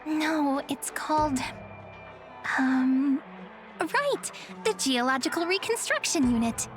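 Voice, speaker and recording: A woman voicing a sweet, friendly young girl guide in a video game, chatty, gentle and polite. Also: high-pitched, expressive, takes it slowly, soft background music. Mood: explaining gently. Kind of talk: video game character acting.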